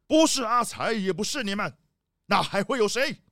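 A man's voice: high-pitched, animated, moderate pace, pushed and bright, no room sound, clean studio sound, heavily acted. A man playing a blustering leopard boss and father in a children's radio play, with an emphatic, dramatic delivery. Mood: shocked.

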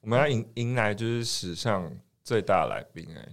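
A man's voice: on the low side, brisk. A man co-hosting a casual, bantering chat show in a teasing, playful way. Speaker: podcast co-host.

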